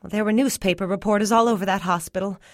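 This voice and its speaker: A woman's voice: medium pitch, steady tone, quick pace, no room sound, studio quality, lightly acted. A woman reading a story aloud and voicing a stern, worried mother who scolds her child. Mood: weary. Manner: scolding, weary.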